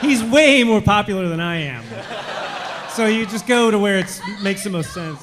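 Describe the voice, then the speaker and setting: A man's voice: high-pitched, expressive, normal speed. A man bantering playfully as a voice actor on a live comedy panel at a convention. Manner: playful, jokey. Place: convention room with audience. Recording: noisy live hall with loud crowd.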